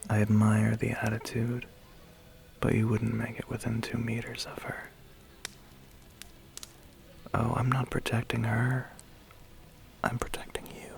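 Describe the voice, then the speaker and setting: A man voicing a suave, menacing vampire biker in an ASMR roleplay, slow and teasing with a breathy, gravelly half-whisper. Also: medium pitch, a little hiss or hum. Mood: cool warning. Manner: slow, teasing, quietly threatening.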